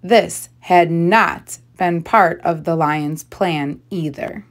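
A woman narrating a children's story as a read-aloud, deliberately stressing and stretching key words. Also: on the low side. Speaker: storybook reader, teacher.

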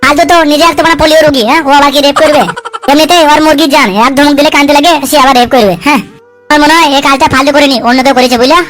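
Casting: woman playing cheeky male sidekick, comedy cartoon. Very high, racing delivery, sly, swearing, argumentative. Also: fairly even intonation, fairly bright tone. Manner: chattering, argumentative, coarse, quick with excuses. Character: wily, cheeky male sidekick and schemer, foul-mouthed. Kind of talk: comic cartoon dub dialogue.

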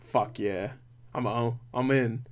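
A man's voice: mid-range voice, steady tone, takes it slowly. A man in his twenties giving casual, rambling, sweary reaction commentary as a video host. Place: small room at home.

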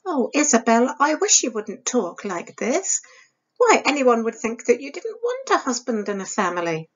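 A woman puts on a girlish, worried younger sister from a storybook. She sounds high, fretful and prim, earnestly cautioning. The recording is a little muffled. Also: very animated, normal speed, husky. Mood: worried, scolding. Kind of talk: audiobook character voice.

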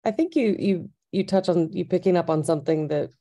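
A woman's voice: lowish voice, a little fast, warm tone. A woman, a furniture designer as an interview guest, speaking thoughtfully and searching for words. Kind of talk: casual interview conversation.

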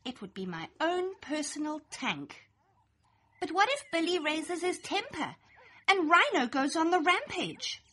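A woman's voice: very high-pitched, sing-song, a little slow, sharp tone. A young adult woman reading a rhyming children's picture book aloud in a playful, sing-song storytelling manner. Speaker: storybook reader. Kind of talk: expressive read-aloud children's story in rhyme.

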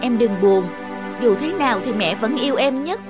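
A woman's voice: a little high, lively intonation, normal speed, lightly acted. A young woman voicing a kind, patient student tutor in a cartoon. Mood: gentle. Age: 20s. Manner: gentle, patient. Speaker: cartoon character. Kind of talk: cartoon voice acting. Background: clear background music.

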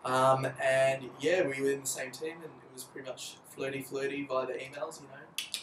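A man's voice: mid-range voice, level tone, moderate pace, fairly bright tone. A young Australian man chatting casually in a couple's vlog, rambling and jokey with lots of fillers. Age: young adult. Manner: rambling, jokey, laughs often, many fillers. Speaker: vlogger. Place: apartment by big windows, indoors. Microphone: camera mic from a few feet away. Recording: roomy, distant, slight noise.

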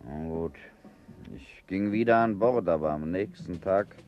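A man's voice: low voice, measured pace, highs cut off. An actor playing an embittered, world-weary sick sailor in a German radio play, sardonic and brooding as he talks. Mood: brooding, reminiscing.

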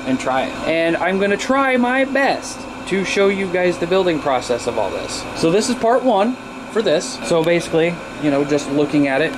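A man's voice: sits high, big pitch swings, moderate pace, noisy background. An American man in his thirties chatting informally and upbeat in a rambling hands-on video blog, recorded on a handheld camera in a garage workshop. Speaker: video blogger and CB radio hobbyist. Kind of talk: casual hands-on vlog chat. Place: cluttered indoor garage workshop. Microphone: handheld camera at arm's length.

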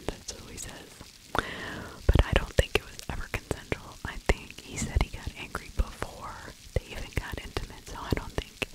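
A middle-aged woman whispers a true crime ASMR commentary in a hushed, conversational way, very close to the microphone. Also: poor audio. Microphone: large fluffy-covered microphone held very close to the mouth.

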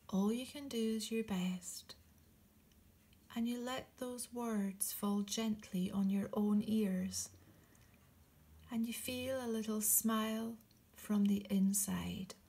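A woman speaks as a meditation guide at a very slow pace. Her voice is soft and breathy, often slipping close to a whisper. The delivery is gentle and soothing, with long pauses between short, encouraging phrases. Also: mid-range voice.

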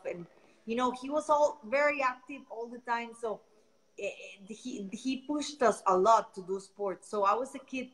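A woman's voice: mid-range voice, fairly bright tone, rough recording. A woman in her thirties from Costa Rica with a Costa Rican Spanish accent, a native Spanish speaker, giving friendly, rambling interview answers over a video call from a room at home. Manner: friendly, rambling, repeats words while searching for them. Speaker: guest, founder of a beach cleanup project.

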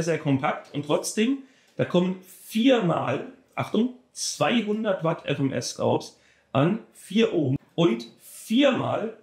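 A man's voice: on the high side, a little mellow. Enthusiastic man, echoey room. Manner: enthusiastic, emphatic, repeats words for stress. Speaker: car audio shop presenter. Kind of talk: casual product review.

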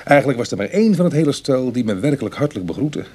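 A man playing a roguish, world-weary reformed burglar in a radio drama, speaking in a musing, colloquial way with a husky, gravelly voice. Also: mid-range voice, sing-song, rapid-fire, a little mellow. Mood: musing, conversational. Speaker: actor in a radio play. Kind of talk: Dutch radio drama acting, dialogue and confessional narration.

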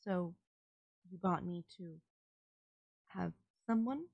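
A woman voicing a polite, puzzled, emotionless female servant robot in an audio roleplay, with formal, halting, literal phrasing. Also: on the low side, gravelly, no echo, highs cut off, exaggerated voice. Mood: confused, inquiring. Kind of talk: scripted audio roleplay, ASMR.

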